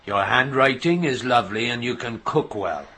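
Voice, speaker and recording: An actor playing a proud, touchy middle-aged signalman father in a radio drama, gruff and lecturing. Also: medium pitch, expressive, normal speed, pushed and bright. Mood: fond, firm. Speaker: railway signalman in a radio play. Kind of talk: naturalistic radio drama acting.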